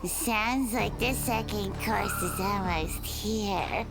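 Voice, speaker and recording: A woman's voice: medium pitch, slow pace, vocal fry, noisy background. An actress in a horror audio roleplay playing a gleeful, bloodthirsty monster woman with a taunting, sing-song manner. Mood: manic glee. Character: gleeful bloodthirsty female monster hunting with a wolf.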